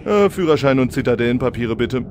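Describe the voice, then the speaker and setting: A man acts a bureaucratic traffic policeman in a parody radio play. He speaks formally and drily at an average pitch, with officious patience. A faint background noise runs under the voice. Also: animated, a little fast, a little mellow, natural voice.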